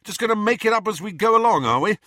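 A man's voice: high-pitched, expressive, quick pace, sharp tone, no room sound, clean studio sound, pitched above the performer's own voice. A man voicing a cocky, joking young troublemaker in an audiobook, breezy and slangy.

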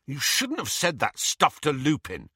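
A man reading a storybook, voicing a blunt, gruff teenage boy. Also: a little high, wildly swooping pitch, a little slow, bright, forceful tone, dry recording, studio quality, pitched above the performer's own voice, full character voice.

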